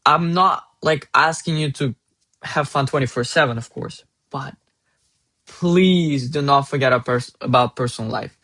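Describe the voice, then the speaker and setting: A young man from Russia with a Russian accent, giving earnest, halting advice as a student and restarting phrases mid-sentence. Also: mid-range voice, pushed and bright. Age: young adult. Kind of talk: casual advice monologue.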